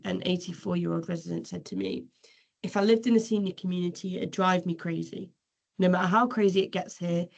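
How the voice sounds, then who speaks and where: Woman, low voice, gravelly fry, earnest measured presenting, video call. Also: a little mellow. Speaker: researcher presenting. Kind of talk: webinar presentation.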